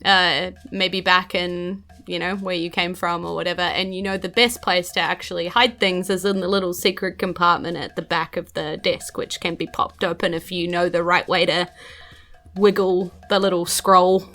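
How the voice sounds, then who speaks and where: A woman's voice: lowish voice, fairly even intonation, normal speed. A woman with a New Zealand accent narrating as game master in a loose, conversational way. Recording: faint music under the voice. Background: soft background music.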